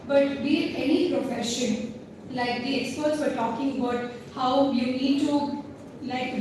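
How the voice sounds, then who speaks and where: A young woman explaining her points earnestly as a panelist. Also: sits high. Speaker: alumni panelist, lawyer. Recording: very echoey hall, distant, noisy.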